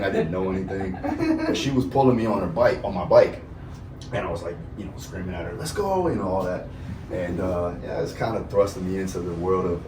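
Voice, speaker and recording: Laid-back deep-voiced coach, thirties, rambling casual guest talk, recorded far off-mic in an echoey noisy room. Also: male voice. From Florida, USA. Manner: relaxed, rambling, laughs often, many fillers. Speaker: MMA coach and trainer, guest. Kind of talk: casual interview chat.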